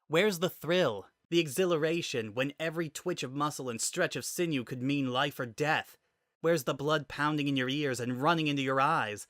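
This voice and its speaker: A man's voice: fairly high pitch, a bit bright, no echo, clean studio sound, voice pushed up. A man voicing a bitter, injured warrior woman in a dramatic reading, intense, scornful and defiant. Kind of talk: dramatic reading of fan fiction.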